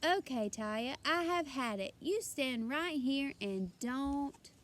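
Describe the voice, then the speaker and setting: Woman playing an exasperated, high-voiced storybook dad. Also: lively intonation, slow pace, a bit bright, heavily acted. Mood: stern, exasperated. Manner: scolding, emphatic. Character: exasperated, stubborn but loving father of young girl.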